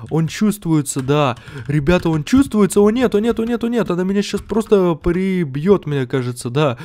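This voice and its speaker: A man's voice: high voice, warm tone. A young man doing exclamatory Let's Play commentary, repeating himself and addressing his viewers. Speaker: gaming video host.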